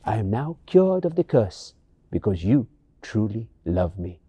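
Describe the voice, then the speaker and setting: A gallant fairy-tale prince, voiced by a male storyteller. He declares himself earnestly, with a swooping melody and a mellow, husky tone. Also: on the low side, sing-song, measured pace, no echo, heavily acted. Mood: relieved, earnest. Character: gallant prince freed from a curse.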